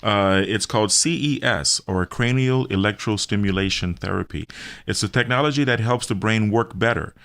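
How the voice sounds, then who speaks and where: A man hosting a radio talk segment, explaining in a hesitant, halting way and repeating words. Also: fairly low pitch, fairly bright tone. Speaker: radio host and health center owner.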